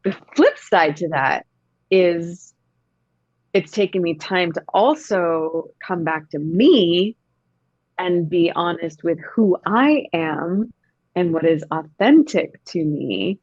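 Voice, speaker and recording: A woman's voice: fairly low pitch, animated, slow, darkish tone, no echo, dull audio. A woman in her thirties, an actor talking in a casual interview, reflective and emphatic. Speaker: actor, podcast guest.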